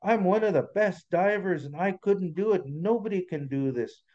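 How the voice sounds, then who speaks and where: A man's voice: high voice, animated, moderate pace, a little mellow, dull audio, voice pushed up. A man voicing a despairing animal diver, wailing and defeatist, in an oral folktale. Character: despairing animal diver, crying that all is hopeless.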